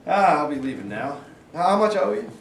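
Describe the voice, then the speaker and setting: A folksy small-town cop whose voice swings lively up and down, turning cold when he questions, read by a man. He is heard through an echoey room from some distance. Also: fairly high pitch, a little fast, a little hiss or hum. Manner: folksy, then cold and interrogating. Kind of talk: staged reading of a play.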